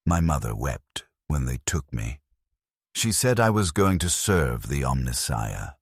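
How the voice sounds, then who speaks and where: A man's voice: extremely low pitch, husky, dry recording, clean studio sound. A man reading a cinematic first-person story in a grave, solemn manner as a narrator.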